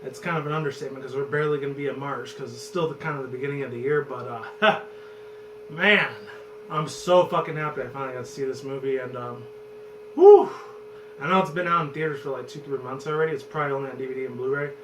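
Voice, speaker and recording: A man in his twenties with a hoarse voice, rambling and cursing loosely as a vlog host talking about movies. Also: medium pitch, fast. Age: 20s. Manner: loose and profane, rambles and restarts. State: hoarse from screaming. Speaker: channel host. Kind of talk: rambling casual movie chat. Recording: roomy, distant, noisy with hum.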